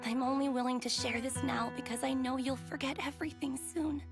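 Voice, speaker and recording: An actress playing a remorseful young woman soldier and former squad captain in a video game, speaking softly and haltingly with music behind her. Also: high voice, steady tone. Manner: soft, measured, halting, subdued. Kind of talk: dramatic video game voice acting.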